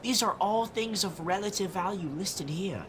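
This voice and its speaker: A woman's voice: medium pitch, moderate pace, noisy background, natural voice. An actress playing a practical woman investigator who questions things matter-of-factly. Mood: puzzled.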